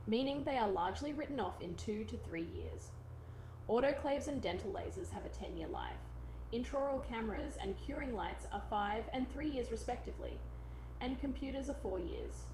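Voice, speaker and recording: A woman reading a scripted explainer in a measured, instructional way, as a presenter. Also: on the high side, background noise.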